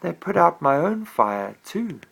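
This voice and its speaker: A dad voicing a boastful young pet dragon. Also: male voice, fairly high pitch, very animated, unhurried, creaky, a bit bright, slightly rough, voice pushed up, heavily acted. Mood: childlike boasting. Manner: eager, boastful, then sulky.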